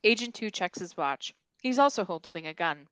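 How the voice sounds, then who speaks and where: A woman's voice: on the low side, animated, moderate pace, very noisy. A woman reading stage directions as the narrator of a scripted comedy table read.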